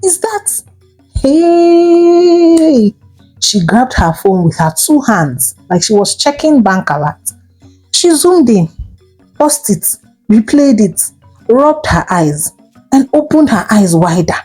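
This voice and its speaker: A Nigerian woman narrating a folktale in a theatrical, expressive style, drawing out words and exclaiming as she goes. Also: medium pitch, a little slow, slightly rough, soft background music, poor audio. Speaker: storyteller. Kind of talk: dramatic folktale storytelling narration.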